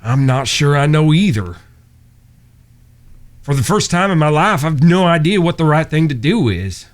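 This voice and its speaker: A man playing an earnest, gentle, lovestruck Old West fellow in an audio drama, hesitant and folksy in his talk. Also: on the high side, sing-song, normal speed. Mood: earnest, uncertain.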